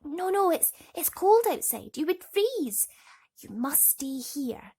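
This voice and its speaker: A woman's voice: high-pitched, big pitch swings, slow, warm tone, voice pushed up, full character voice. A woman voicing a small, sweet, anxious girl who pleads emotionally in a storybook reading. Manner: imploring, emotional.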